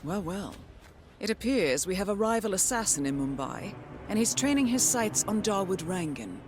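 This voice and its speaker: An actress playing a composed intelligence handler, giving a formal, knowing mission briefing in a video game. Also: female voice, medium pitch, sing-song, moderate pace, a little mellow, a little hiss or hum, natural voice. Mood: intrigued, composed.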